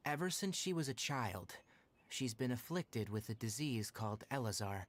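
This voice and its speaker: A man voicing a composed, frank, protective young forest ranger in an anime video game, speaking in a measured, articulate way. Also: mid-range voice, lively intonation, close to an everyday voice. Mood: grave, explanatory. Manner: measured, articulate, matter-of-fact.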